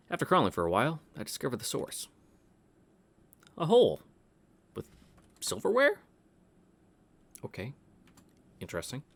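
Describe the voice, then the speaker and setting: A man's voice: lowish voice, dry recording. A man reading a visual novel aloud as a let's-play host, breaking off into casual asides.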